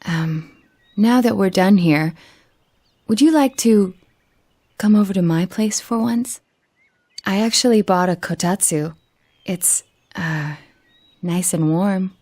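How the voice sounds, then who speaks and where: A woman playing a reserved, thoughtful young detective girl in a video game, speaking in a measured, polite, slightly hesitant way. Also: mid-range voice, a little mellow. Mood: shy, hesitant. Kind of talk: video game dialogue acting.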